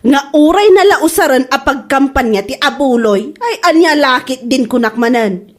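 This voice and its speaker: A woman with an Ilocano accent voicing a mocking, sharp-tongued village gossip in a comedy drama. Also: high-pitched, expressive, moderate pace.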